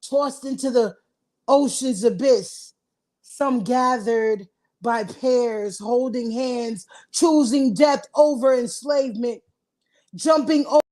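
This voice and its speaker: A woman performing a spoken word poem, deliberate and building to impassioned cries. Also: sits high, mellow.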